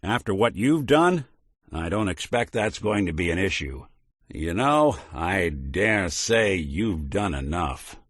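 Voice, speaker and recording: An actor voicing a world-weary, middle-aged robot detective in a video game, with an American accent and dry, sardonic, old-fashioned private-eye slang. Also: male voice, low voice, big pitch swings, slow pace, no room sound, exaggerated voice. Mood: appreciative. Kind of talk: film noir private-eye game acting.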